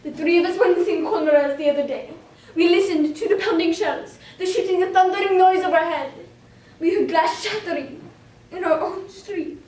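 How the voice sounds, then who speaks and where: A woman performing a dramatic monologue as a frightened, anguished young wartime girl, emotive and deliberate in delivery. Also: very high voice, darkish tone. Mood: frightened, grim. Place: indoor room, recorded from a distance. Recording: roomy echo, distant microphone.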